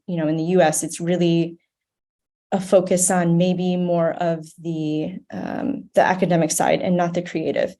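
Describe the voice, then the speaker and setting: A woman's voice: fairly low pitch, warm tone. An American woman speaking earnestly and thoughtfully as an interview guest, in a reflective conversational style. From United States, grew up in Ohio. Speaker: podcast guest, nonprofit board member.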